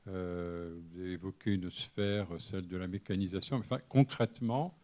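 A man's voice: sits low, fairly even intonation, moderate pace, a little mellow. A man from the audience putting a question, searching for words and piling up phrases before asking it.

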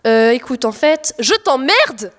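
A teenage girl plays an exasperated, blunt young married woman in a comic audio play. Her voice is on the high side and her phrasing is slangy and halting. A long hall reverb surrounds her. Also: big pitch swings, a bit bright. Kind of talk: comic audio fiction acting.

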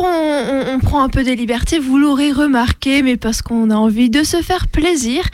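A woman's voice: high-pitched, no echo. A woman hosting a radio programme, presenting in a conversational way with some scripted narration. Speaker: radio host.

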